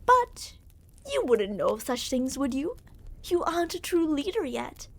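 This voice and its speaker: High-voiced, smug yandere royal captor played by a woman. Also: big pitch swings, unhurried, exaggerated voice. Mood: reproachful, smug. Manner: condescending, theatrical, mock-affectionate. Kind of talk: ASMR roleplay acting.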